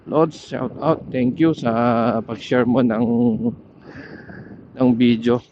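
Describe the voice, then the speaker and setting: Slow, halting Filipino man on muffled mic. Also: mid-range voice, darkish tone. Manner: halting, pausing to search for words. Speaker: motorcycle ride vlogger. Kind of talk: casual vlog talk while riding. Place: outdoors, riding a motorcycle. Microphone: helmet intercom headset. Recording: muffled helmet intercom mic with riding noise.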